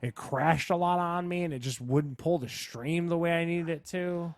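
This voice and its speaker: Higher-voiced man in casual podcast chat. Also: moderate pace, dry recording. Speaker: podcast co-host.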